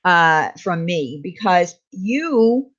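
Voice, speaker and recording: A woman co-hosting a casual talk stream, speaking in a stern, halting, warning way. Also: fairly low pitch, expressive, a bit bright, lo-fi sound. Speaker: co-host. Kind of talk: casual talk show chat.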